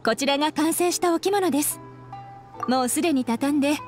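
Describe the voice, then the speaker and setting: Casting: woman as polite young shop lady in an anime game, very high voice, friendly and courteous. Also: brisk, darkish tone, soft background music, lightly acted. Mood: friendly, grateful. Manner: courteous customer-service speech. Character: polite, friendly young female kimono shopkeeper. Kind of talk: Japanese anime game voice acting.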